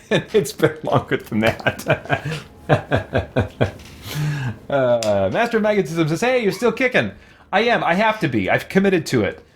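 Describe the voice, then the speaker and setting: A man streaming a game with casual, informal commentary, thinking aloud and chatting with his viewers in a rambling way. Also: on the high side. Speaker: game streamer and YouTuber, host. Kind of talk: casual livestream commentary while gaming, reading and answering chat.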